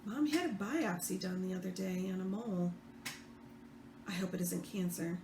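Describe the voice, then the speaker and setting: A woman reading fiction aloud as the author, expressive, with the odd stumble. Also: on the low side, moderate pace. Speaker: author reading own book. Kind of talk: read-aloud fiction narration. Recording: roomy echo, faint background noise.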